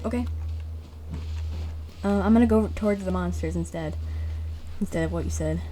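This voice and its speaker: A woman's voice: on the low side, moderate pace, dark tone, a little hiss or hum, rough recording. Chatty woman commenting on a game. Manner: reactive, chatty. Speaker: gaming streamer. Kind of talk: casual playthrough commentary.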